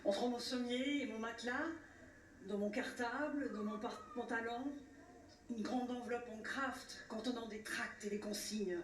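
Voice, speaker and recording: Slow, solemn woman orator in a roomy space. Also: fairly high pitch, steady tone. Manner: measured, declamatory, with rhetorical repetition and pauses. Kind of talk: solemn scripted commemorative speech. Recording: roomy, distant mic with faint noise.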